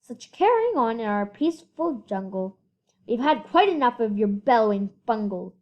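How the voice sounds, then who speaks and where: A woman's voice: a little high, very animated, moderate pace, highs cut off. A female reader voicing a big, scornful, bossy kangaroo in a storybook, scolding with snapping emphasis.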